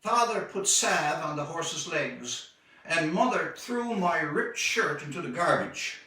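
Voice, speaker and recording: Man, literary story reading, bright expressive voice, slow measured phrasing, dramatic pauses, distant mic in echoey room. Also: a little high. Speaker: author reading own short story.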